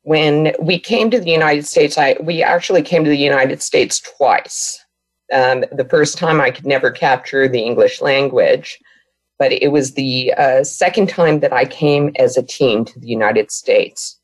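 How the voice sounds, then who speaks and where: A woman who grew up in Brazil, with Portuguese as her first language, tells her own story as a guest on a talk show. She speaks earnestly and reflectively, pausing as she recalls each part. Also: a bass voice, no room sound, rough recording. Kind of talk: telling her life story in an interview.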